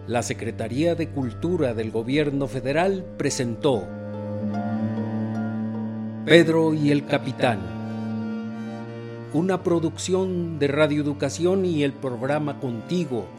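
A man's voice: a little high, measured pace, music in the background. A man reading the closing credits of a radio drama in a formal announcing tone.

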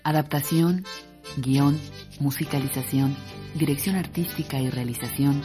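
A woman announcer reading the credits in an even, formal way. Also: rumbling low, a little slow. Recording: studio voice over loud guitar music.

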